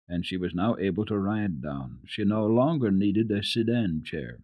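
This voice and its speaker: A man reading classic children's literature aloud as a dramatic radio storyteller, with a deliberate, expressive delivery. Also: sits low, no room sound, studio quality.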